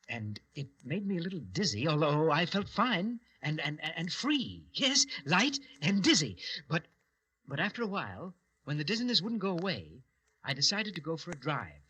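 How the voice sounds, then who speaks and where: An actor playing a brooding, menacing man in old-time radio horror, theatrical and deliberate, with dramatic hesitations. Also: fairly high pitch, pushed and bright, husky, heavily acted, low-quality recording. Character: Harvey, brooding, menacing man fascinated by murder. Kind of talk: old-time radio horror acting, first-person narration. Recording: old radio recording with music.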